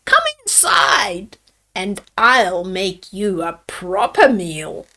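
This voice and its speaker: A woman's voice: mid-range voice, big pitch swings, very slow, pushed and bright, pitched below the performer's own voice, exaggerated voice. A woman voicing a wicked old witch from a children's storybook, falsely kindly, bossy and snappish. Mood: sly, welcoming. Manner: snappish, commanding. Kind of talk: children's storybook read-aloud.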